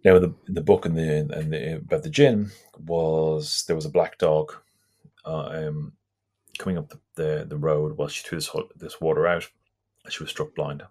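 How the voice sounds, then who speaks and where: A very deep, gravelly speaker with a husky, breathy edge. The guest talks in a halting, thoughtful way, often restarting phrases while explaining folklore. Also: level tone, a little mellow. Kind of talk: casual conversation about folklore.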